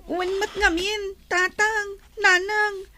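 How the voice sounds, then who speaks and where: Young daughter, very high voice, slow plaintive pleading, radio drama. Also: female voice, little pitch movement, sharp tone, slight background noise, exaggerated voice. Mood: pleading, sad. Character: pleading young daughter, tearful little girl.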